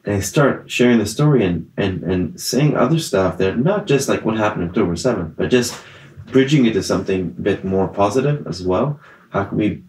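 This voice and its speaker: A man's voice: on the low side, expressive, normal speed, a little mellow, a little hiss or hum. A young man from Israel, with Italian as a first language, giving an earnest personal testimony as an interview guest, often restarting his sentences. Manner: earnest, many fillers and restarts. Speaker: guest, festival survivor. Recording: echoey room.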